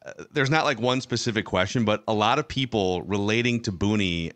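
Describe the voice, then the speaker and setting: A middle-aged man with a fairly low voice hosts a casual podcast, reading from listener messages. The sound is close and dry. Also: a little fast, a bit bright, dry recording. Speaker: podcast host. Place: indoor room, remote video podcast. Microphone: close microphone on boom arm, headset.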